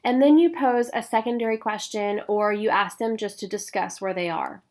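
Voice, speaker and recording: A woman with a stuffy nose from a cold, giving a recorded professional development talk for teachers with clear, paced explanation and frequent "um"s. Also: medium pitch, moderate pace. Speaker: instructional coach.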